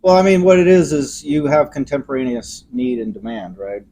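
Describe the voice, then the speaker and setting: A man co-hosting a podcast, explaining ideas in a casual, animated way and acting out examples in different voices. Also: mid-range voice, moderate pace. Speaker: podcast co-host.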